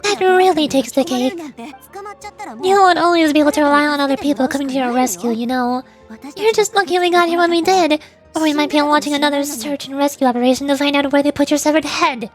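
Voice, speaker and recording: A woman's voice: high voice, quick pace, faint music behind, close to an everyday voice. A woman voicing a stern, sarcastic granny character in game dialogue, exasperated and scolding. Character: scolding, sarcastic granny berating her young charge.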